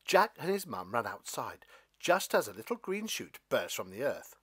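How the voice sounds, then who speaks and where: A man reading a children's story as narrator, with expressive, scripted delivery. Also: mid-range voice, moderate pace, husky. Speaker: storybook reader.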